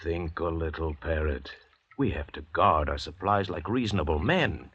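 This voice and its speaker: Deep-voiced, coldly commanding convict doctor. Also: male voice, a bit bright, breathy edge, full character voice. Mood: stern control. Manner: measured, condescending, eloquent. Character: cold, arrogant, commanding convict doctor, calculating leader of escapees. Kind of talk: old-time radio drama acting. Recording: dull, noisy vintage radio recording.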